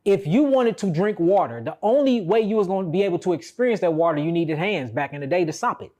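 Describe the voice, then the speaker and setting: A high-voiced man with an American accent speaks as if on a podcast. He explains spiritual ideas with emphasis, conversationally, often checking that the listener is following. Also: animated, fast, darkish tone, lo-fi sound. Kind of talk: impassioned spiritual talk, conversational.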